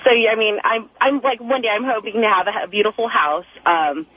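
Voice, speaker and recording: A woman's voice: fairly high pitch, animated, brisk. Young woman on a tinny phone line. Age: 20s. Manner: hesitant, rambling, deferential. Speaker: caller. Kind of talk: casual phone-in chat. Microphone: telephone. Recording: tinny, narrow telephone line on radio.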